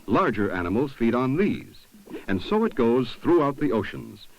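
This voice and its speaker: A man reading scripted 1970s documentary narration in a measured, instructive way, on an old film soundtrack. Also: mid-range voice, big pitch swings, vocal fry, dry recording, lo-fi sound.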